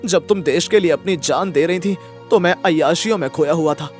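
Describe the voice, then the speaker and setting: A man plays a self-absorbed young husband in a story melodrama. His voice is fairly high and emphatic, with music behind it. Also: a little fast, close to an everyday voice. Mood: grieving, remorseful. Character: selfish young husband, evasive, later remorseful and melodramatic. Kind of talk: audio story melodrama acting.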